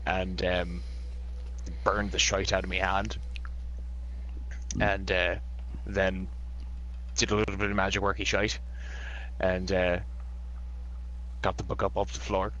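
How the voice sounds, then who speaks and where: Excited, swearing deep-voiced gamer. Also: male voice, lo-fi sound, slight background noise. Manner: excited, profane, rambling. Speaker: player. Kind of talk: recounting his character's deeds.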